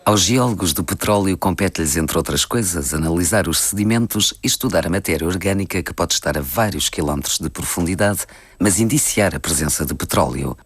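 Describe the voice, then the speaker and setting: A man's voice: sits low, a little fast, husky. A man with a European Portuguese accent reading scripted documentary narration.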